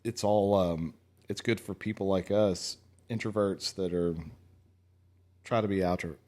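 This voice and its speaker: A man's voice: low-pitched, steady tone, a little slow, darkish tone. A man in his forties hosting a podcast as a casual, blunt, joking chat. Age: 40s. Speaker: podcast host.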